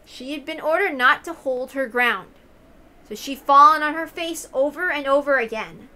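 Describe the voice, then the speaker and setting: A woman's voice: high-pitched, moderate pace, bright, forceful tone, rough recording. A woman reading a visual novel aloud and adding casual commentary of her own.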